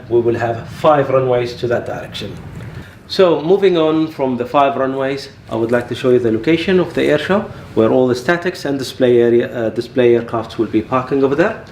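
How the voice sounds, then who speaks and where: A man giving an explanatory facility tour as head of air traffic control, in a halting delivery. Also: medium pitch, a little mellow. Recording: echoey, distant mic, faint background noise.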